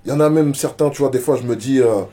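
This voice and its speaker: A man's voice: mid-range voice, fast, mellow. A rapper being interviewed, talking casually and often repeating words while he searches for them. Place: media office.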